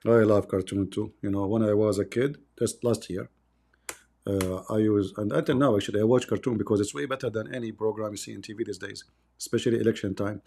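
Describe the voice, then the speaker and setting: Gravelly, sarcastic man. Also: on the low side, steady tone, fast talker, dark tone. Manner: mocking, sarcastic, reads verses aloud while searching. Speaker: debate host. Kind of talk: live online debate.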